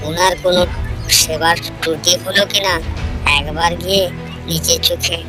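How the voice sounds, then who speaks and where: A man's voice: sits high, steady tone, moderate pace, natural voice. A man voicing a greedy, outwardly pious village landlord in a cartoon folk tale, commanding and self-important. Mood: dutiful, commanding. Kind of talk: Bengali animated folk-tale dialogue. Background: music.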